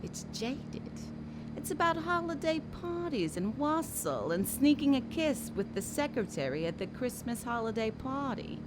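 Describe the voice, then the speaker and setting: A woman's voice: a little high, background noise. A woman playing a sly, gloating villainess in an audio drama, taunting sardonically. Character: sly, gloating villainess scheming to end Christmas.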